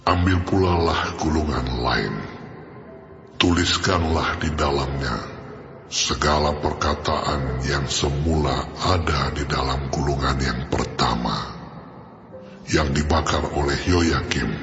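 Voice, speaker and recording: An actor playing the voice of God in an audio Bible drama, speaking slowly and gravely as he pronounces judgment. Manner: slow, grave, booming proclamation. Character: the voice of God, solemn and commanding. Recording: echoey reverb hall, dull sound over music.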